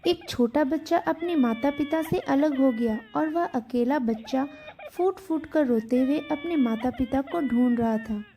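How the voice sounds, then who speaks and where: A woman's voice: high voice, measured pace, warm tone, slight background noise. A woman telling a scripted children's story as a storybook narrator.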